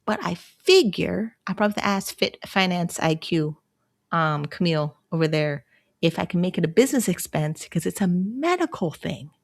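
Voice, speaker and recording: A woman from Canada in her thirties, hosting a casual solo livestream in a chatty, rambling way, laughing often and jumping up in pitch when excited. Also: normal speed, gravelly. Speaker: livestream host.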